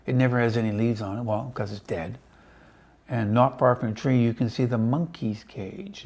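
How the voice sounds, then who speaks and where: A man with a mid-pitched, husky voice reads a children's story aloud. His delivery is casual and conversational, and he breaks off for chatty asides to whoever is listening. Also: a little mellow. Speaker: storybook reader.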